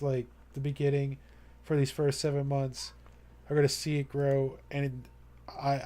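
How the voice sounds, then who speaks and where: A man's voice: medium pitch, a little mellow. Rambling, earnest young male streamer. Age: young adult. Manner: rambling and earnest, many fillers and restarts. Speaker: live streamer. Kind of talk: casual live-stream chat to viewers.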